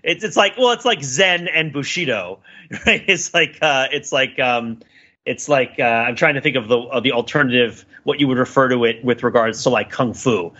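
Bright, forceful man on a podcast. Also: medium pitch, highs cut off. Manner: halting, thinking aloud, repeats "right?" as a tag. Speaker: podcast co-host. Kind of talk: casual analytical podcast discussion.

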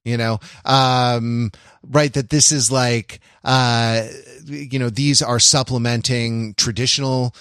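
A man's voice: mid-range voice, steady tone, takes it slowly, fairly bright tone, clear, resonant tone, no room sound, clean studio sound. A man co-hosting a culture podcast, talking casually, rambling with many fillers, restarts and jokey asides. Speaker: podcast co-host. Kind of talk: casual conversational commentary.

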